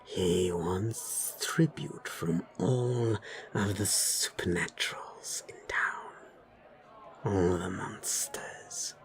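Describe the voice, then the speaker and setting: A man voicing a sly snake-man enforcer in an audio roleplay, mock-courteous and menacing, with a breathy, hissing whisper. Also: deep voice. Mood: smooth menace. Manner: slow, drawn-out, mock-courteous.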